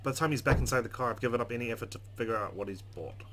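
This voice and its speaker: A man's voice: medium pitch, racing, background noise. A man hosting a let's play, reading game narration expressively with casual, playful asides. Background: game music.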